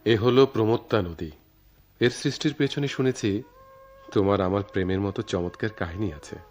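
An actor playing an idealistic young writer in love in a radio drama, poetic and earnest, with a Bangladeshi accent. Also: male voice, lowish voice, fairly quick, a little mellow, natural voice. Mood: teasing, tender. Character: romantic, idealistic young male writer, devoted eloping lover. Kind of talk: theatrical radio drama acting.

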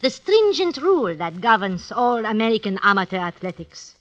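An actress playing a cold, mocking blackmailing duchess in a 1950s radio drama, speaking in measured, formal phrases. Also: female voice, on the high side, very animated, a bit bright. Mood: mocking, smug. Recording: muffled 1950s radio recording.

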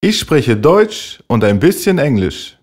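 Guy reading phrases real slow for learners to repeat, pitch going up and down a lot. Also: big pitch swings, a little mellow. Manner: slow, clearly enunciated model phrases for repeating. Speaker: German language teacher voice.

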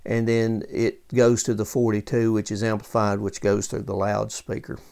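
A man giving a casual, unscripted technical walkthrough as a tutorial presenter, halting as he thinks aloud. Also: lowish voice, monotone, creaky, a little mellow, smooth. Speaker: presenter of vintage radio repair tutorial. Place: indoors at a workbench.

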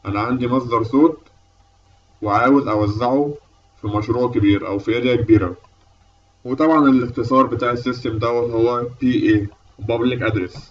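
A man's voice: medium pitch, brisk, recorded from a distance, lo-fi sound. A man with an Egyptian Arabic accent giving a methodical, explanatory lecture as an instructor, step by step. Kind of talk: explanatory lecture over slides.

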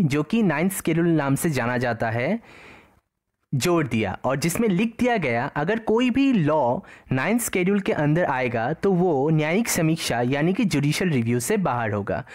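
A woman narrating an exam-prep lesson over slides, her low voice swinging widely in pitch. She explains each term twice, once plainly and once in English. The sound is a close voice-over. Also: darkish tone. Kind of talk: slide-based lecture narration.

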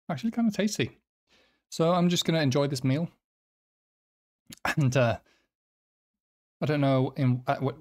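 Slow-talking man, self-deprecating and halting. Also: medium pitch, a little mellow. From Finland or nearby Nordic region. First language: Swedish or Finnish. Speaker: quiz video host. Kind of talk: casual quiz commentary to viewers.

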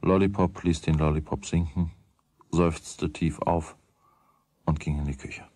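A man narrating a children's radio play as a wry, deliberate storyteller with a husky voice. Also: very deep voice, fairly even intonation, warm tone, no echo. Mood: wry, measured. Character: wry storyteller of a boy's everyday troubles.

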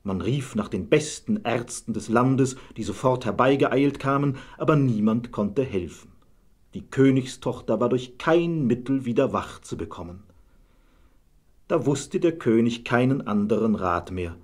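A man narrating a scripted fairy tale as a measured storyteller. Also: medium pitch, lively intonation, a little mellow.

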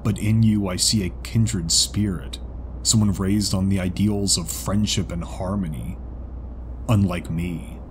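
A man voicing a calm, caring male zebra in an audiobook, speaking in a formal, measured, reassuring way. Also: sits low, slight background noise. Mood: earnest. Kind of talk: audiobook character dialogue. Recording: music behind the voice.

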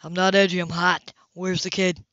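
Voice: medium pitch, level tone, moderate pace, a bit bright, no echo, lightly acted. A reader voicing an edgy, hot-tempered man in a novel, blunt and impatient. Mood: irritated. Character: edgy, hot-tempered, sweaty man who swears and needles. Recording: dull lo-fi home recording.

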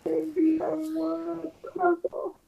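A woman from the United States in an intimate couple roleplay, cooing in a drawn-out, babyish way on a lo-fi recording. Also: extremely high pitch, monotone, vocal fry, mellow. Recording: muffled playback of a lo-fi video.

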